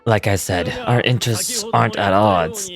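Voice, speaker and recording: An actor playing a scheming, pompous merchant villain in a video game, theatrical and self-serving, talking with indignant persuasion. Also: male voice, lowish voice, moderate pace, music in the background, close to an everyday voice. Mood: smug, scheming.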